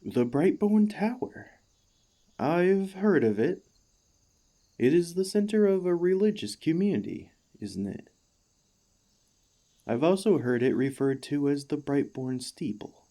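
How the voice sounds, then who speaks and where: A man playing an earnest, devoted warforged ex-gladiator in an ASMR roleplay, speaking to the listener with formal, courteous, gently hesitant phrasing. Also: on the high side, animated, a little slow, pauses often. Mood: warm, tentative.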